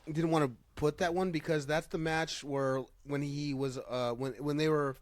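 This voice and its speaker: A man's voice: on the high side, fairly even intonation, moderate pace, no room sound. A man hosting a casual chat show, talking informally and with some swearing, often circling back as he phrases a thought. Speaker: podcast host. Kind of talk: casual chat about pro wrestling.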